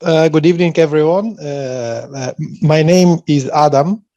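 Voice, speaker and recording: A man from Russia introducing himself in an online class, halting with many fillers, over a video call. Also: fairly high pitch, warm tone. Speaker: student. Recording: dull lo-fi video call audio.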